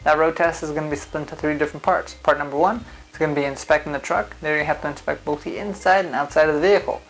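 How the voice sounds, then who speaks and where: Non-native English-speaking man, driving instructor type, relaxed explainer with faint music under him. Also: on the high side, fast, rough recording. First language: not English. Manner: conversational, explains step by step with frequent "uh" fillers. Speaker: driving school founder and instructor. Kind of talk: casual explainer video.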